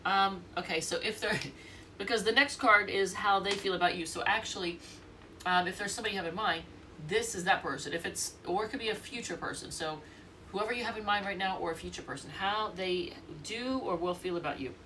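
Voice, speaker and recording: A woman's voice: medium pitch, a little fast, sharp tone, far from the mic, slight background noise, low-quality recording. A congested woman with a stuffy nose giving a casual, rambling tarot reading, thinking aloud and restarting often. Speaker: tarot reader.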